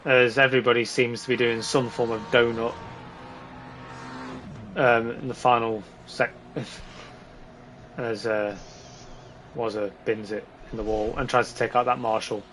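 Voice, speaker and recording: A man with a slightly hoarse voice giving casual, informal live commentary on a sim race. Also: medium pitch, level tone, unhurried, long pauses, fairly bright tone, a little hiss or hum, low-quality recording.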